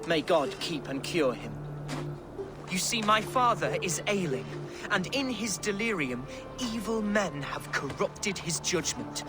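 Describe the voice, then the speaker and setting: Young game nobleman, high and very lively, florid, apologetic, earnest would-be poet. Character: boisterous young nobleman, eager amateur poet, earnest and flustered. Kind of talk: video game character acting.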